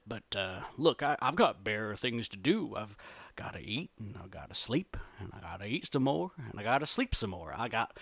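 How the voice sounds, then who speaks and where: A man voicing a big, slow, food-loving bear in a folktale, folksy and grumbling, stumbling over words. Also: on the low side, gravelly, fairly bright tone, no echo, pitched below the performer's own voice, full character voice.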